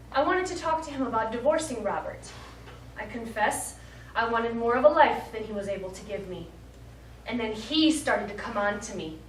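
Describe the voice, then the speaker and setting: A woman's voice: fairly high pitch, lightly acted. Woman acting a composed, calculating wife, echoey stage. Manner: formal, measured, deliberate. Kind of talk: live stage play acting. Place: small theatre studio, distant microphone. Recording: echoey, noisy stage recording from far off.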